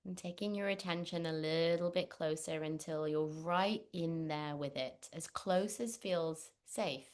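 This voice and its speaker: A woman leading a guided meditation, speaking gently and unhurriedly with long pauses. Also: sits low. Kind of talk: guided meditation, spoken instruction.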